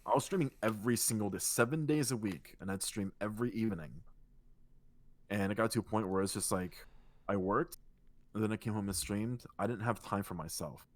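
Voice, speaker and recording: Male co-host, low and soothing, loose rambling delivery, casual profanity. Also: a little fast. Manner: rambling, relaxed, swears casually. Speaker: podcast co-host and streamer. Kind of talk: casual chat.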